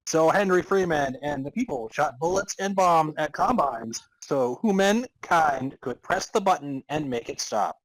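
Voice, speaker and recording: Slow, husky man giving a dramatic reading. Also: a little high, no echo, rough recording. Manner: theatrical, often breaking into laughter. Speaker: narrator and podcast host. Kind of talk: dramatic reading of comic fan fiction.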